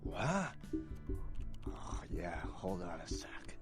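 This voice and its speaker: An actor playing a smug, distracted vampire computer hacker in a video game, sarcastic and mumbling to himself. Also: male voice, low voice, very animated, drawn-out words, over music, full character voice. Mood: gloating, distracted.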